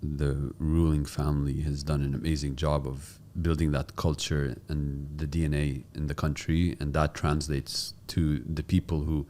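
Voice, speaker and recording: A man's voice: rumbling low, level tone, moderate pace, hardly any pauses, darkish tone, slight background noise. A man from the United States and the UAE hosting a podcast, interviewing a guest in a casual conversational way. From born in the United States, raised in the UAE from 1992.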